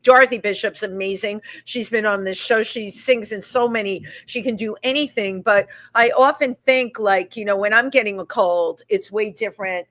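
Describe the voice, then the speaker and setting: A chatty woman hosts a live talk show from a restaurant. She has a mid-range voice and animated delivery. She eagerly jumps in to finish her guest's sentences. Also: mid-range voice, moderate pace, hardly any pauses.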